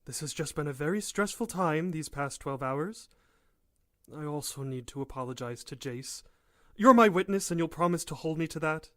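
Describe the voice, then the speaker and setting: A man plays a stressed-out professor, wound tight and pleading, pitched a little above the usual male range. The game voice sits close and dry on the mic, with no room around it. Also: expressive, normal speed, dry recording, lightly acted. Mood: apologetic. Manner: anxious, urgent, apologetic.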